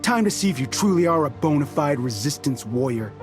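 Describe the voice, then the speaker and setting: Guy voicing a cocky drunk game soldier, kinda high voice, chuckly and pushy. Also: expressive, a little fast, music in the background, lightly acted. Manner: brash, chuckling, provoking. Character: boozy, battle-hungry male resistance soldier. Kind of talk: video game voice acting.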